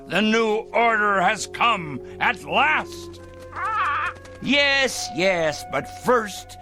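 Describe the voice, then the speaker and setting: A man's voice: on the high side, pushed and bright, heavily acted. An actor playing a paranoid, smug middle-aged man in a 1960s TV drama, talking theatrically to his pet bird. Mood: exultant. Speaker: fanatical letter-writing crank.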